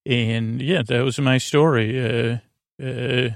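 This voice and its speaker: A man with a creaky voice hosting a sleep podcast in a lulling, halting ramble, stumbling over words and drifting off on tangents. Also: mid-range voice, fairly even intonation, no room sound, clean studio sound. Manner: lulling, gentle. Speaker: podcast host.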